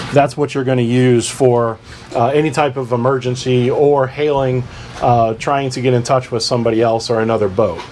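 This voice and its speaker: A man presents an informal how-to guide in a mid-range voice. He is plain-spoken and instructive, taking things one step at a time. Clear ambient noise runs behind his speech. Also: medium pitch, darkish tone. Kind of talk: informal tutorial explanation.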